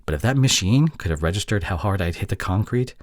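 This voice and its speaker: A young man playing a sheepish, affectionate boyfriend in an intimate audio roleplay, soft and halting, with breathy drops toward a whisper. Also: low voice, lively intonation, no room sound, studio quality. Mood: sheepish, defensive. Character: sheepish, affectionate young boyfriend apologizing to his long-distance partner.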